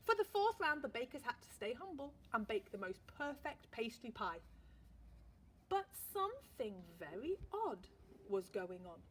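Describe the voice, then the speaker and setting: Teacher lady reading a kids book, really lively voice, lots of dramatic pauses. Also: fairly high pitch, a little hiss or hum. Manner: expressive storytelling with dramatic pauses. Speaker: primary school teacher. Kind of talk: reading a picture book aloud.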